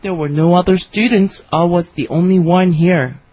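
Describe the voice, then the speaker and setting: A woman reading her part in a scripted English conversation for learners, with clear, careful delivery. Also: fairly low pitch, fairly even intonation, normal speed, dark tone. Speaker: dialogue reader for English learners. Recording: dry, slightly dull studio recording.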